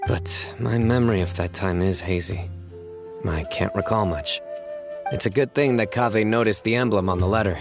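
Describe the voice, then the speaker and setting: A grave, reserved young warrior from a fantasy game, played by a man who speaks in short, clipped lines. His voice sits low. A clear game score runs behind him. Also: normal speed, music in the background, natural voice. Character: stern young male desert warrior investigator.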